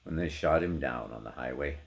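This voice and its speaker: A man giving a theatrical, intense dramatic recitation of poetry, swinging between hushed delivery and full-throated cries. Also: extremely low pitch, fairly quick. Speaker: poetry performer.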